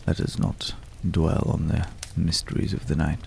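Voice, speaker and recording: A man acting a mysterious, courteous stranger in an ASMR roleplay, speaking in a hushed, measured way with lingering pauses and a deep, gravelly voice. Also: completely flat delivery, a little hiss or hum, heavily acted. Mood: ominous, measured. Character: mysterious, courteous male stranger visiting a hunter's night camp. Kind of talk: ASMR roleplay, slow dramatic monologue.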